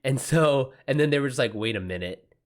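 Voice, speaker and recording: A man's voice: mid-range voice, animated, fairly quick. A man hosting a livestream, chatting casually with viewers in loose slang and swearing freely. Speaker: livestream host.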